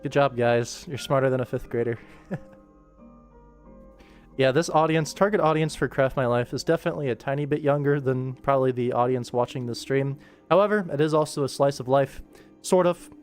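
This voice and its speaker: A man's voice: mid-range voice, a little fast. A man giving casual livestream commentary, informally thinking aloud as he works on an animation production. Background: music.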